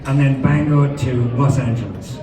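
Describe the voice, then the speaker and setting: A man's voice: medium pitch, unhurried. Wry English Midlands man at a stage Q&A, heard from a distance in a reverberant hall. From England. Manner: wry, self-deprecating. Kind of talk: stage Q&A chat. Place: large hall with audience. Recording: echoey hall, far off, crowd.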